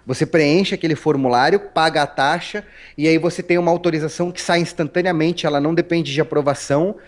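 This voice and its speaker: Brief: Paulistano male guest, relaxed storyteller, raised pitch, lots of restarts and "né?". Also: fast. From Brazil. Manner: chatty, storytelling, frequent restarts and tag questions. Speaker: interview guest. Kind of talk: casual interview talk.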